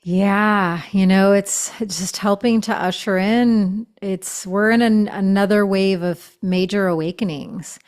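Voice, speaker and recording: A woman's voice: mid-range voice, steady tone, unhurried, hardly any pauses, darkish tone, clear, resonant tone. A middle-aged woman, a shaman and author, chatting as a podcast guest, full of gushing spiritual phrasing and fillers. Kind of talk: casual interview chat.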